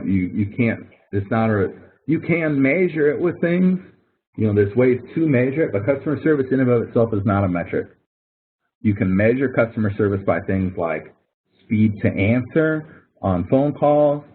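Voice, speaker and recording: A man's voice: medium pitch, husky. A man working as a college professor, explaining things step by step in an informal online tutoring session, with emphatic repetition. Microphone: online call microphone. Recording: thin phone-like online conference audio.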